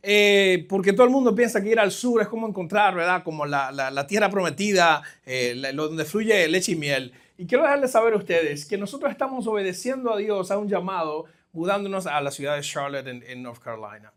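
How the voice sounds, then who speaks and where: A middle-aged man with a Caribbean Spanish accent giving a conversational, halting sermon as a guest pastor, addressing the congregation directly. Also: high voice, lively intonation, fairly bright tone. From New Jersey, of Caribbean family. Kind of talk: live sermon, personal testimony. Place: church, speaking to a congregation.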